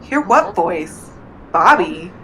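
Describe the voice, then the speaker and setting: A woman's voice: lowish voice, big pitch swings, takes it slowly, crowd noise, heavily acted, low-quality recording. A woman playing a casual, impatient teenage girl superhero in an audio drama, talking in slang. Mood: puzzled.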